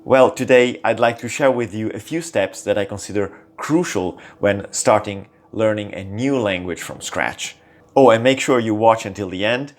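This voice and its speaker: Lower-voiced man. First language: Italian. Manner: lively, shifting intonation with frequent small fillers. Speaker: language-learning channel host. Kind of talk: scripted talk to camera.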